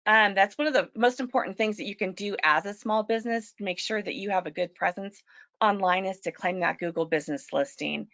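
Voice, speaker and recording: A woman presenting a small-business webinar, a little hoarse from allergies, often clearing her throat and saying um. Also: medium pitch, a little fast, fairly bright tone, no echo. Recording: dull lo-fi web call audio.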